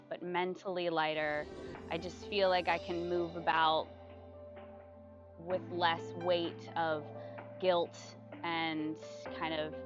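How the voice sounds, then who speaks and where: A young woman in a casual testimonial interview, chatty, with fillers and soft laughs. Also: on the low side, lo-fi sound. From United States. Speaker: retreat guest giving a testimonial. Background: background music.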